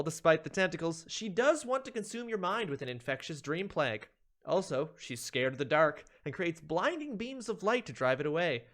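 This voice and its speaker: Man, higher-pitched voice, very lively intonation, streamer, theatrical emphasis, mock-serious list narration. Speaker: streamer, video host. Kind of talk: dramatic reading of a humorous ranking list.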